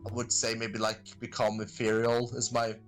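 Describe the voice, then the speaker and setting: A man chats on a podcast at an ordinary middle pitch, rambling and stopping to hunt for words. Faint music plays behind him. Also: completely flat delivery, normal speed. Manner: rambling, hesitant, many fillers. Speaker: podcast guest and streamer. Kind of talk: casual chat.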